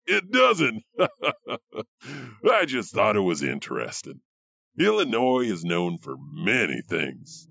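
A man's voice: low-pitched, gravelly, fairly bright tone, exaggerated voice. A man playing an eccentric, awkward janitor who hosts a horror audio anthology with rambling asides. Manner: halting, rambling, chuckling asides. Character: eccentric, awkward, creepy male janitor storyteller. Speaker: host. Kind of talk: comic horror anthology hosting.

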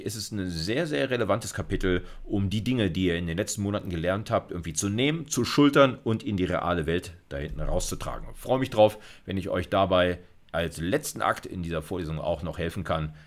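A man's voice: deep voice, brisk. A middle-aged man giving an informal university lecture, thinking aloud in a colloquial way as he demonstrates. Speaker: university lecturer in computer science. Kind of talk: informal lecture narrating a live coding demo.

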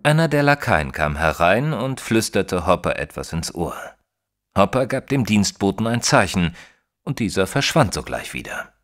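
Very deep, expressive gentleman storyteller. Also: moderate pace. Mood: storytelling. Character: proper Victorian gentleman narrator, opinionated, easily shocked companion. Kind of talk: dramatised first-person audiobook narration in German.

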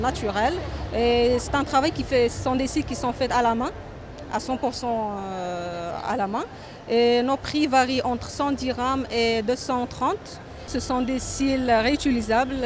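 Young woman, raised pitch, hesitant short phrases, product interview, handheld mic, clear background noise. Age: 20s. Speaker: company representative. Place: exhibition booth in a trade fair hall. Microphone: handheld interview microphone.

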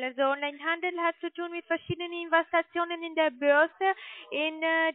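High-pitched woman caller. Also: no echo. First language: not German. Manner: insistent, explaining, often seeking agreement. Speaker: telemarketing caller pitching investments. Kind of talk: improvised phone sales pitch. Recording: muffled narrow-band phone call.

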